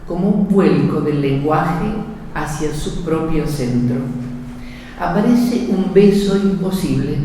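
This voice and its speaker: A woman with an Argentine Spanish accent presenting a book, reading prepared remarks in a measured, poetic way. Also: fairly low pitch, warm tone, a little hiss or hum. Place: event hall. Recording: echoey hall from far off.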